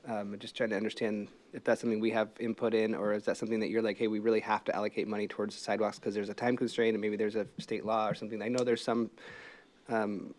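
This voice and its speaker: American guy on a city council, talks really fast and kinda monotone, feels his way into questions. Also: fairly low pitch. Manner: tentative, circling around his questions. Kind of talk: informal questioning in a council meeting.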